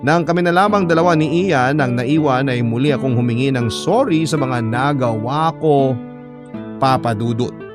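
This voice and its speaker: A man's voice: mid-range voice, moderate pace. A man narrating a first-person letter for a radio drama in a scripted storytelling style. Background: guitar music bed.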